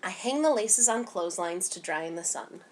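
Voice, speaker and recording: Animated woman reading a children's story. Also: lowish voice, moderate pace, slight background noise. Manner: animated, voices dialogue with emphasis. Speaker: children's story time reader. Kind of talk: expressive picture-book read-aloud for children.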